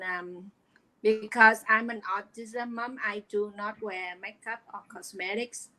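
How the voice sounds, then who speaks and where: A middle-aged woman from Vietnam with a Vietnamese accent, earnestly telling her story as an interview guest, often pausing on fillers. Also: mid-range voice, pushed and bright, poor audio. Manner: earnest, hesitant with many fillers. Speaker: guest, online business coach.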